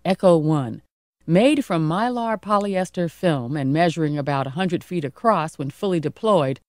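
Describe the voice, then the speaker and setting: Low-voiced woman, dry studio narration. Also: a little mellow, no room sound. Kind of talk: scripted informational narration.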